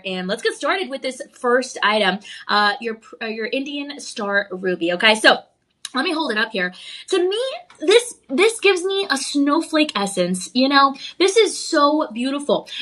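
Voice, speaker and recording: A woman hosting a live home shopping show, bubbly and gushing, her voice slightly raspy from a cough. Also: fairly high pitch, sharp tone. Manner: bubbly and effusive, gushing over the product. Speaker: shopping channel host.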